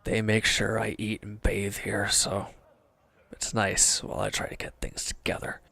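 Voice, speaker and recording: A man playing a wounded, defeated villain's henchman in an intimate audio roleplay, bitter, defensive and despondent, halting and sometimes dropping to a near-whisper. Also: lowish voice, monotone, vocal fry, bright, forceful tone, husky, exaggerated voice. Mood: despondent, weary.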